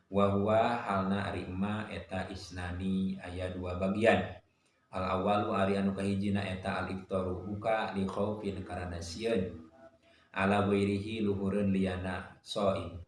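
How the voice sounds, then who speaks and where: A man teaching a religious lesson. He recites Arabic phrases from a classical text and glosses each word in a steady, measured cadence. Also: low voice. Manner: poses and answers his own questions. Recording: very echoey room, faint noise.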